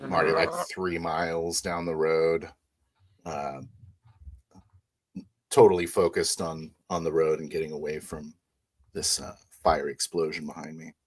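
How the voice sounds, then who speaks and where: A man improvising his character's actions and lines in a tabletop roleplay, piecing phrases together haltingly. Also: extremely low pitch, level tone, slightly rough. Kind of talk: improvised roleplay narration and dialogue.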